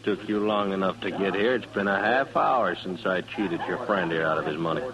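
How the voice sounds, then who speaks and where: An actor playing an arrogant, menacing gunslinger in a vintage radio Western, with a folksy, taunting American drawl. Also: male voice, low voice, brisk, low-quality recording. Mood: smug, taunting. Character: menacing, arrogant gunslinger who enjoys killing. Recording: old radio recording with crowd murmur.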